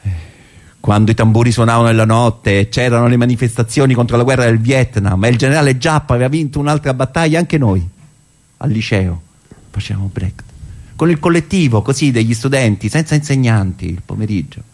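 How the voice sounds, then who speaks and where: A man's voice: mid-range voice, brisk, darkish tone, lightly acted. A man playing a reflective, self-doubting older political militant in theatrical dialogue for radio, speaking hesitantly. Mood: nostalgic. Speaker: actor.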